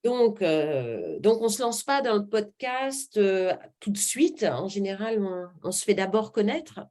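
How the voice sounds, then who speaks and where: A woman's voice: medium pitch, lively intonation, unhurried, a little mellow. Woman fielding webinar questions. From Normandy, France. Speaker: webinar presenter and marketing trainer. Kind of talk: conversational advice, fielding audience questions.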